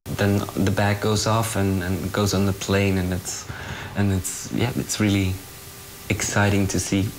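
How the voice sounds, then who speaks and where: A young man from Germany speaking unscripted in an interview, halting and understated. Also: deep voice, little pitch movement, background noise. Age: young adult. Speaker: interviewee, donor.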